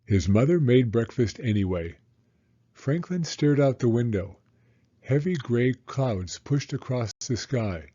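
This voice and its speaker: A male children's story reader with a soft-edged, dark tone. He narrates deliberately and expressively, with big melodic movement and a slow pace made for young listeners. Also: medium pitch, animated, muffled recording.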